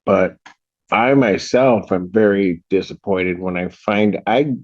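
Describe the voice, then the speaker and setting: A man speaking as a judge from the bench, stern and emphatic, often halting and repeating himself. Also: low-pitched, lo-fi sound. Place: courtroom.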